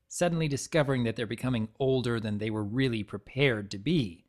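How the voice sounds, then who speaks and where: A man narrating fan fiction in a theatrical, scripted dramatic reading style. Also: mid-range voice. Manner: expressive, theatrical.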